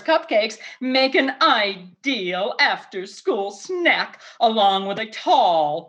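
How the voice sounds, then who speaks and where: Unhurried, animated woman in radio advertisement. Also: fairly high pitch. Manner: careful, instructive. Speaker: home economist giving sponsor message. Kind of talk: scripted 1950s radio advertisement. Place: radio studio.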